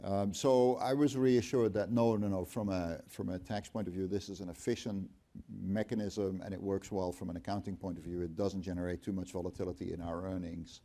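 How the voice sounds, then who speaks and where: A middle-aged man speaks from an auditorium stage into a lapel mic. His voice is mellow and rather low. He explains at an even pace, with dry asides and frequent ums. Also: brisk, hardly any pauses. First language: Dutch. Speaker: company CFO, guest speaker. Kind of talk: onstage conversation. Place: auditorium stage before an audience.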